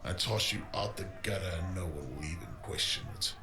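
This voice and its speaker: A man's voice: sits low, fairly quick, background noise, close to an everyday voice. A man playing a menacing, smug gang boss in a cyberpunk audio drama, taunting and mock-polite. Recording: roomy echo, music behind.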